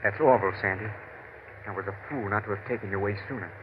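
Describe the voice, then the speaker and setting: An actor playing a stern, protective rancher husband in an old radio drama, firm and urgent. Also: male voice, lowish voice, rapid-fire, lightly acted. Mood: alarmed. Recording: noisy, tinny vintage radio recording.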